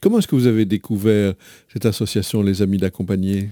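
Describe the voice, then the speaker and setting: A man hosting a radio interview, asking questions in halting phrases with repeated words. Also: lowish voice, steady tone, dark tone, no room sound, clean studio sound.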